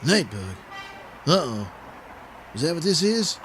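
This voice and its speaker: High-voiced, hapless superhero sidekick. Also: male voice, sing-song, measured pace, fairly bright tone, slight background noise. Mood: confused, flustered. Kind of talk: comedic superhero audio serial.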